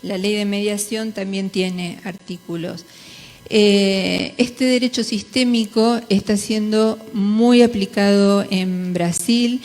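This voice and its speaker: Slow-paced Argentine woman in a reverberant hall. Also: medium pitch, fairly even intonation, a little mellow. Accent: Argentine Spanish. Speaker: conference speaker, jurist. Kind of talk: conference presentation. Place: large conference hall.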